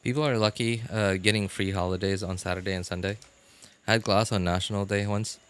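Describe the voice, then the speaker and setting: A man with a fairly low voice talks casually to viewers on a live game stream. He thinks aloud in a halting way, repeating words as he goes. Music plays clearly behind him. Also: steady tone, fairly bright tone.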